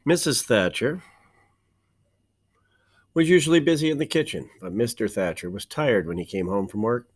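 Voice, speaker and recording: A man narrates a children's storybook in an expressive, teacherly way. His medium-pitched voice rises and falls in a lively way, and he phrases deliberately, pausing for emphasis. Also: slightly rough. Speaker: teacher reading a storybook aloud.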